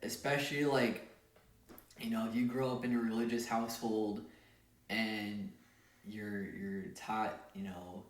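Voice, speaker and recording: A man's voice: on the low side, little pitch movement, a little slow. Young man, distant and echoey. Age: young adult. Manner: chatty, loose, repeats words. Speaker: podcast co-host. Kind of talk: casual podcast chat. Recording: echoey room, away from the mic.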